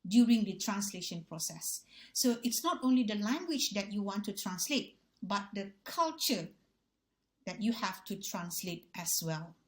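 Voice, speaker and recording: Malaysian-accented woman lecturer, Malay native, measured and repetitive delivery, addresses students formally. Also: medium pitch. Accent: Malaysian English. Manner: deliberate, repeats key phrases, addresses audience as ladies and gentlemen. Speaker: university lecturer. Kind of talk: recorded revision lecture.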